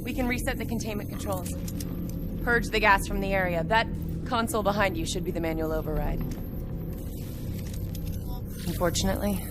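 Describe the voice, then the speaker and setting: A woman performs a brave special-ops soldier from a video game, competent and focused. Her mid-pitched voice sits in a dramatic cutscene with prominent music behind it. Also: fairly quick, pauses often, close to an everyday voice. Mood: focused, grave.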